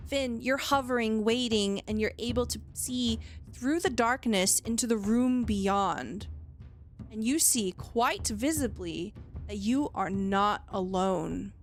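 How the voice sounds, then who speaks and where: A woman narrating a tabletop game as game master, describing scenes in a measured, descriptive way. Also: on the high side.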